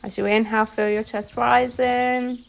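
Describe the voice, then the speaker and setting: A woman leading a guided meditation as a yoga nidra instructor, giving soothing instructions phrase by phrase with pauses. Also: a little high, little pitch movement, gravelly.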